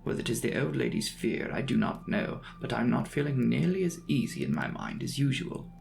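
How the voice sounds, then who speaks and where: A man narrating a classic novel as a dramatic audiobook, with measured, formal, theatrical phrasing. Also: mid-range voice, very animated, gravelly, soft background music.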